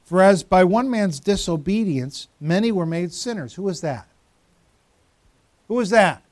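A man's voice: sits high, expressive, unhurried, darkish tone, no echo, studio quality. A pastor in his fifties giving a conversational sermon, teasing members of the congregation by name. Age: 50s. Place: church.

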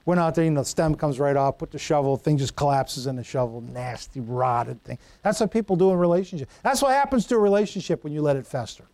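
A middle-aged male pastor with a slightly higher voice delivers a folksy, conversational sermon. He trips over Greek words and drifts into homely stories. The sound is dry and close. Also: animated, quick pace, few pauses, darkish tone, no room sound.

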